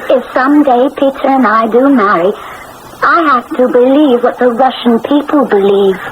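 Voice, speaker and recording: A woman's voice: on the high side, moderate pace, dull audio, lightly acted. A woman playing an earnest, headstrong teenage German princess in a radio drama, with a resolute, theatrical delivery. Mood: determined. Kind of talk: 1980s radio drama acting with first-person narration. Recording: noisy old radio drama broadcast recording.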